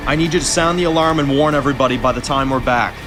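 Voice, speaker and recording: A man's voice: medium pitch, rapid-fire, over music, natural voice. A man voicing a frantic male survivor who curses while fleeing a zombie herd. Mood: urgent. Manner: frantic, swearing. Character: panicked adult male truck driver.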